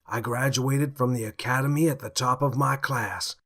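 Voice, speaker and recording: A man's voice: medium pitch, steady tone, moderate pace, a bit bright, breathy edge. A man voicing a weary, put-upon alpaca police officer in a read-aloud story, talking in a dry, exasperated way. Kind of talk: storybook character voice.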